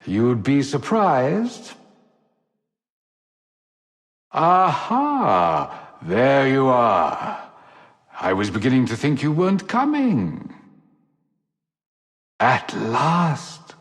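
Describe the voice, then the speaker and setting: An actor voicing a flamboyant, teasing rogue operative in a video game, with florid, mock-gallant delivery. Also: male voice, mid-range voice, sing-song, very slow. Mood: playful greeting. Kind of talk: theatrical video game character acting. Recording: long echoing hall reverb.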